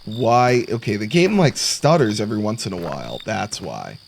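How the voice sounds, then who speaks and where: A man's voice: fairly low pitch, big pitch swings, normal speed, creaky, slight background noise. A man giving casual let's play commentary on a horror game, sarcastic and jokey as he mutters to himself. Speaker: gaming content creator. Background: horror game sounds of crickets and insects.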